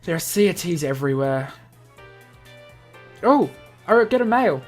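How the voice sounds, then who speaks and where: A man's voice: a little high, sing-song, measured pace, faint music behind. A man reading a visual novel aloud as a let's player, stumbling over lines and adding casual explanatory asides. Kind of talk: game narration with casual asides.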